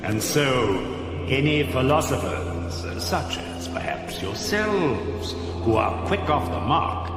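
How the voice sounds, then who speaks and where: Lofty supercomputer in cavernous echo. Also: male voice, medium pitch, big pitch swings. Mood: sly. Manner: formal, deliberate, drily condescending. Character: vast, weary, lofty male supercomputer. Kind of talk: radio comedy drama acting. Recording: echoey long reverb, music behind.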